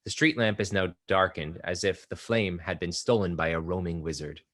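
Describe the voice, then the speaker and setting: A man reading stage directions aloud at a play table read, plain and steady. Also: sits low, moderate pace.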